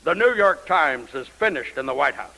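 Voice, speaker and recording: Domineering, furious male leader, radio drama, fairly high, sharp, animated voice. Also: normal speed, creaky, full character voice, low-quality recording. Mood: angry. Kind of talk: live radio play acting.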